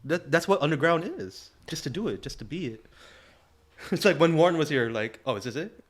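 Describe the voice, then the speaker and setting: A young man co-hosting a podcast, talking in a relaxed, thoughtful way and often checking in with "you know what I'm saying.". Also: fairly high pitch, normal speed. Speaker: podcast co-host. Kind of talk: casual chat.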